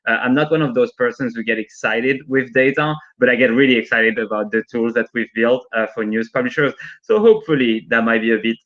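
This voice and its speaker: A man who is not a native English speaker leads a live training session from his home over a video call. He talks informally and often tacks on a quick "right?" as he goes. His voice sits at a middling pitch, and the call audio sounds somewhat muffled and lo-fi. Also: fairly bright tone. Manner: chatty, frequently adds "right?". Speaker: workshop presenter. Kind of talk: live online training talk with demos. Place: working from home.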